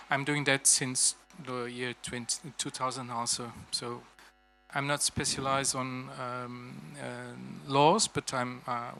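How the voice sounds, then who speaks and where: Hesitant Portuguese man, bright voice. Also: medium pitch, slight background noise. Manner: hesitant, many fillers. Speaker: architect and university teacher. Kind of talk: self-introduction on a panel.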